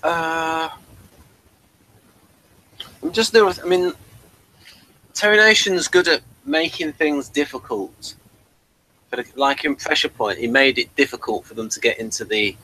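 A middle-aged man hosting a casual review chat over a video call, halting and repeating words as he gathers his thoughts. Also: mid-range voice, sharp tone, poor audio. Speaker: host of a review show. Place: small cluttered room at home. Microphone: headset on a video call.

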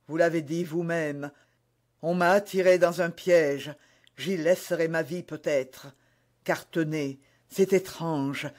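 A man's voice: fairly high pitch, darkish tone. A man reading the part of a passionate, melancholy young duke in love, ardent and pleading, in a historical romance audiobook. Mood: melancholy, tender.

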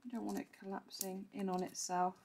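A woman with a croaky voice, chatting through a step-by-step card-making tutorial and clearing her throat now and then. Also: medium pitch, level tone, brisk, a little mellow, a little hiss or hum. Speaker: papercraft demonstrator. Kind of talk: casual step-by-step craft tutorial.

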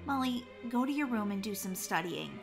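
A woman voicing a calm, gently firm mother in an acted children's family story, speaking in a measured way. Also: mid-range voice, gravelly, over music.

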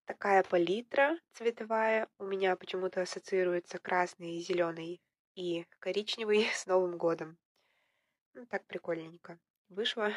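A young woman with a slightly hoarse voice from a cold, casually narrating her clay crafting at home in a relaxed, self-ironic way. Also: on the low side, moderate pace, no echo, clean studio sound. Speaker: vlogger, polymer clay crafter. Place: indoors at home, at a table.

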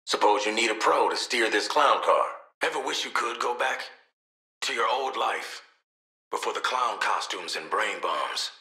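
A man's voice: lowish voice, expressive, normal speed, bright, forceful tone, echoey room. An actor playing a cynical, cocky assassin and protective father in a video game, with an American accent and a wry, slangy, streetwise way of talking. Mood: subdued, sincere. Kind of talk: video game character voice lines.

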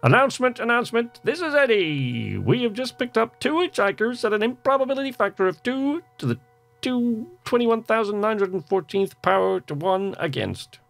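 A man voicing a chirpy, excitable shipboard computer making announcements in a text adventure game. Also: high voice, moderate pace, creaky, soft background music, voice pushed up, exaggerated voice. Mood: chirpy, announcing. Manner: announcing in exclamations.

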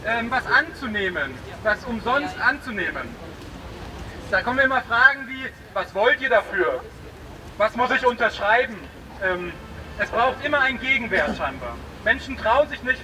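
A man giving an informal speech through a megaphone at a street protest, with many hesitations. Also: very high-pitched. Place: street blockade outdoors. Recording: distant outdoor recording with street noise.

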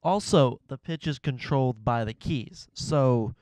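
A man's voice: medium pitch, unhurried, a little mellow, no room sound. A man in his thirties talking casually to camera as he demonstrates a synthesizer in a home studio. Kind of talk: casual gear demo talk to camera. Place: small home music studio, indoors.

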